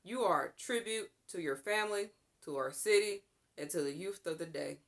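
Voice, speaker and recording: A formal city mayor from a storybook, read by a woman, proclaiming honors in a ceremonial, grateful way. The voice sits fairly low for a woman. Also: moderate pace, close to an everyday voice. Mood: proud, formal.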